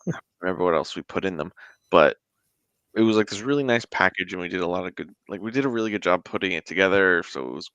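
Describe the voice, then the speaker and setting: A man's voice: deep voice, fast talker, a bit bright, no room sound, muffled recording. A man speaking as a guest in a casual interview, with a rambling, conversational delivery. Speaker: guest, indie tabletop game creator.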